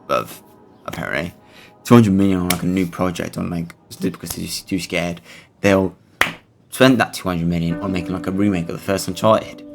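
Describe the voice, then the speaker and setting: A man giving casual, rambling and halting gameplay commentary, blunt and sweary as host of a gaming video. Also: deep voice, gravelly, music in the background.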